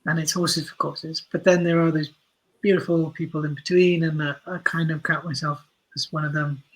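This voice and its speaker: A man with a husky, slightly high voice talks casually as an interview guest. He explains his points in an animated way, often stumbling and correcting himself as he goes. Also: normal speed, low-quality recording. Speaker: interview guest, audio drama writer and director.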